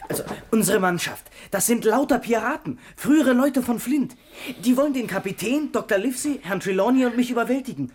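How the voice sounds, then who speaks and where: A performer playing a frightened but plucky young ship's boy in a radio play, earnest, polite and excitable. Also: medium pitch, brisk, natural voice. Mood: anxious, earnest. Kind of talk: German-language radio play acting.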